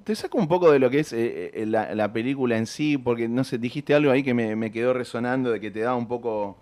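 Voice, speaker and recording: A man interviewing a guest, asking questions in a casual, soft-spoken way. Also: mid-range voice, fast. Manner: soft-spoken, brief affirmations.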